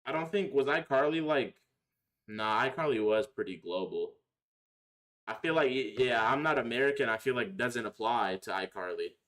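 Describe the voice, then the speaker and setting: A man's voice: mid-range voice, animated, moderate pace, fairly bright tone. A young man chatting casually on a livestream, answering viewers in slangy, profane, opinionated talk. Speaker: live streamer.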